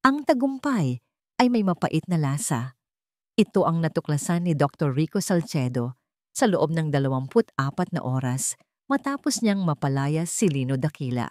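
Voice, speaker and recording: Low-voiced Filipino woman narrating a dramatic story. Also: very animated, moderate pace, a little mellow, no echo, clean studio sound.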